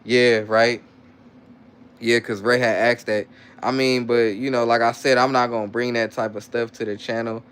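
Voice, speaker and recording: A man's voice: medium pitch, little pitch movement, normal speed, a bit bright, smooth. A young man talking loosely on a livestream, reading chat comments aloud and answering them in rambling slang with lots of restarts. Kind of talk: casual live-stream chat.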